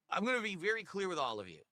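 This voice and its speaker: A man's voice: high-pitched, bright, forceful tone, slight background noise. A man streaming live, talking casually to his viewers and laughing between phrases.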